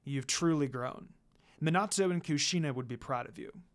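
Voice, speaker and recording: A woman's voice: low voice, normal speed, pitched below the performer's own voice, natural voice. A woman voicing a kindly, apologetic old man who leads a ninja village, in a fan fiction reading. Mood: gentle, apologetic. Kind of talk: fan fiction character dialogue read aloud.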